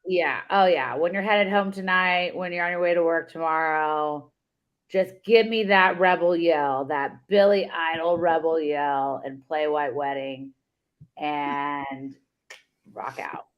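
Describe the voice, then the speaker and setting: A woman co-hosting a casual sports podcast, upbeat and giving shout-outs. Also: low voice, slow pace. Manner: upbeat, gives shout-outs and sign-offs. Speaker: podcast co-host. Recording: dull lo-fi sound.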